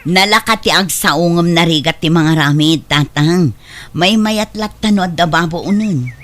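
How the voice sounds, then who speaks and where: A woman's voice: on the low side, moderate pace, a bit bright, natural voice. A woman playing a defensive, exasperated wife. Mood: complaining. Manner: defensive, complaining. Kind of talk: audio drama acting.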